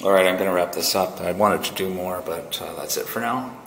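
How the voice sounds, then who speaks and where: Gravelly low-voiced man, bright edge. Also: fairly quick. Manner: plain-spoken, informal, thinking aloud. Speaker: fire alarm technician apprentice narrating his work. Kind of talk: casual hands-on work vlog commentary. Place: indoor building, at ceiling space and wall panels. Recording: noticeable background noise on handheld camera.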